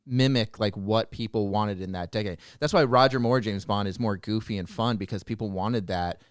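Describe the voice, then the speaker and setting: A man's voice: lowish voice, moderate pace, clean tone, no room sound, clean studio sound. A man chatting casually on a talk show panel, giving opinions in informal language with the odd mild swear. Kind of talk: casual chat about movies.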